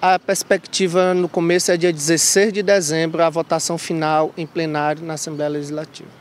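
A man in his thirties, a state deputy, giving a brisk statement outdoors into a reporter's handheld mic. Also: a little high, level tone, slight background noise. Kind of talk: street interview. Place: outdoors by a palace.

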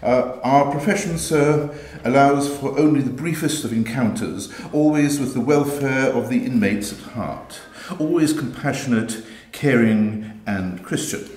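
A man's voice: mid-range voice, unhurried, hardly any pauses, a little mellow. A man reading his own story aloud as dramatic dialogue, in a live setting. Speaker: author reading own stories. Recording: roomy, echoey live reading.

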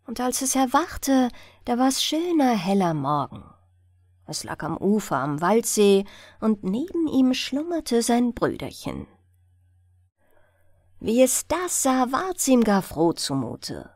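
A woman narrates a fairy tale for bedtime in a gentle, soothing way. She takes her time, with a very melodic delivery, and the recording is close and dry. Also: fairly high pitch, slow pace, no echo. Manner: gentle, soothing, expressive storytelling. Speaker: audiobook narrator.

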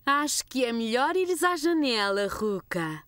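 A woman playing a caring mother of small children in a cartoon, gentle and coaxing, with a European Portuguese accent. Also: on the high side, big pitch swings, unhurried, no echo. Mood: reassuring.

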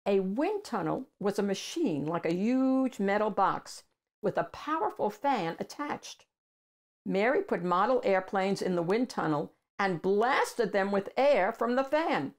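An older woman with a medium-pitched voice narrates a children's picture book. She phrases things deliberately and leans on key words for emphasis. The delivery is that of a read-aloud host addressing young listeners. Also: big pitch swings. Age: older, 60s or above. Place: small room at home with bookshelves.

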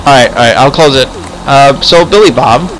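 A man chatting casually in improvised game roleplay, rambling and often repeating words haltingly. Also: medium pitch, poor audio. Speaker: host and player in a roleplay game video. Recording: music behind the voice. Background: music.

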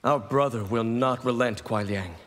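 A man's voice: medium pitch, normal speed, fairly bright tone, natural voice. A man playing a loyal, embittered ninja in a fighting game, earnest and resolute. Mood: resolute, bitter. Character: loyal, embittered grey-haired ninja brother. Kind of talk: dramatic fighting game dialogue.

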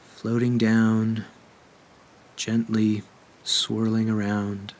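A man leading a guided meditation in a gentle, unhurried way with measured pauses. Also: fairly low pitch, monotone.

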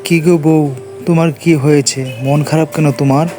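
Man acting a caring village husband, higher-pitched voice, soothing, cartoon drama, clear music behind. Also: level tone, fairly quick, mellow, lightly acted. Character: caring, conciliatory young village husband and son. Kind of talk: cartoon family drama dialogue.

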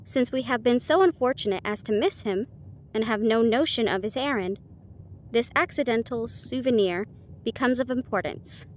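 A woman voicing a sharp, confident male detective with a precise, formal, condescending manner in an audiobook. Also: on the high side, moderate pace, a little hiss or hum, lightly acted. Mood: inquisitive.

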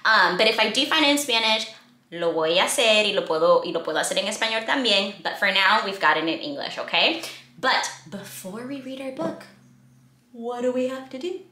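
Young woman, children's read-aloud host. Bright voice, big swooping expression, roomy indoor sound. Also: mid-range voice, fairly quick. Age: young adult. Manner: expressive, exaggerated, addressing children with questions and gasps. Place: small indoor room at a desk.

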